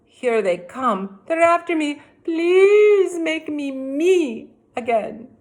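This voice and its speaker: A woman's voice: high voice, sing-song, takes it slowly, darkish tone, pitched above the performer's own voice, heavily acted. A woman voicing a panicked young man pleading for help in a read-aloud storybook, with exclaiming cries.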